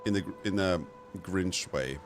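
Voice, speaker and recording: A man's voice: low-pitched, normal speed. A man chatting casually and slangily as he streams a game. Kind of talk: casual livestream chat while gaming. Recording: loud background music. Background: music.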